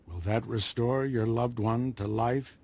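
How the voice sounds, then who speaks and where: An actor playing a calm, stern male Jedi master who speaks in a measured, instructive way, in a game cutscene. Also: medium pitch. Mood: grave.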